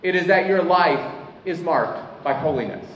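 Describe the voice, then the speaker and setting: A man preaching a sermon as pastor, earnest, slowing down to stress his key points. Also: fairly high pitch, lively intonation, a little hiss or hum. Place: church hall. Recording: echoey hall, mic at a distance, dull sound.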